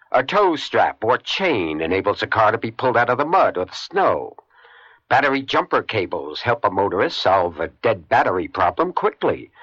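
A male radio announcer delivers a scripted public service message. The voice is husky and medium in pitch with lively inflection. The old recording is somewhat muffled. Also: no room sound. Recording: dull vintage radio recording.

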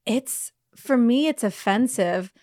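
Higher-pitched woman chatting as co-host. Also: animated, slow pace, a little mellow, no room sound, studio quality. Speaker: podcast co-host. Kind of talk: casual chat.

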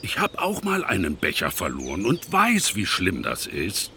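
Creaky, kindly old grandpa. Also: male voice, deep voice, huge pitch swings, moderate pace, pushed and bright, a little hiss or hum, heavily acted. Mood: kindly, scatterbrained. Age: older. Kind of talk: children's audio play acting.

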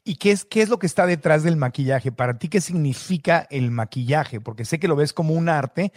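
A man hosting a podcast interview, asking quick chains of questions. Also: fairly high pitch, expressive, a little mellow, no room sound, studio quality. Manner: asks rapid chains of questions. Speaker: podcast host. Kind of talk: interview conversation.

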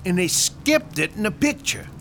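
Man voicing a gravelly mob boss. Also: sits high, very animated, normal speed, music in the background. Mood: gruff. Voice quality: streetwise, ungrammatical slang. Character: self-made tough Chicago mob boss. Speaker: mobster. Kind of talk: radio drama acting.

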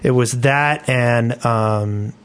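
A man's voice: medium pitch, drawn-out words, clear, resonant tone. A man co-hosting a gaming podcast, talking casually with a jokey, storytelling manner. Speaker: podcast co-host.

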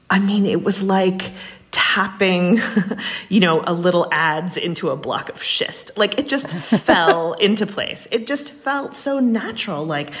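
An American woman answering interview questions in a chatty, enthusiastic way with colourful turns of phrase. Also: low-pitched. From Western Massachusetts, United States. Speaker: interview guest, writer. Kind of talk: animated, conversational interview answers.

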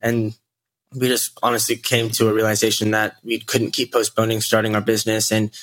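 Young guy from the us telling his story on a podcast, talks quick, lowish voice. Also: monotone, fairly bright tone, no echo, low-quality recording. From United States. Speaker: podcast guest, real estate investor. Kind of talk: conversational storytelling.

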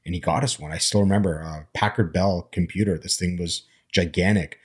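Low husky man telling his story. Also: steady tone, brisk. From immigrant, grew up speaking Armenian and Arabic. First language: Armenian and Arabic. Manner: reflective, storytelling, frequent fillers. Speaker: panel guest. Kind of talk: casual conversation.

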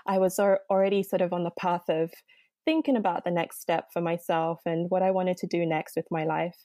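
Woman with a mellow, dry voice. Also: fairly low pitch, steady tone, a little fast, no echo. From Nigeria. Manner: chatty, warm storytelling with many fillers. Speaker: podcast guest. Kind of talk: interview conversation.

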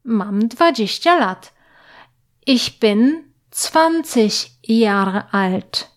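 A woman teaching German to learners, speaking clearly and patiently and pausing so the listener can repeat. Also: on the high side, expressive. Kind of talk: slow, clear language lesson with repetition drills.

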